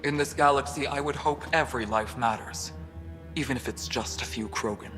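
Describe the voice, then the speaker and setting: An actor playing a stern, skeptical colony official with a measured, pointed delivery in a sci-fi video game. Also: male voice, medium pitch, a bit bright, natural voice. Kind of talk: dramatic video game cutscene acting. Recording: long hall reverb, faint music behind.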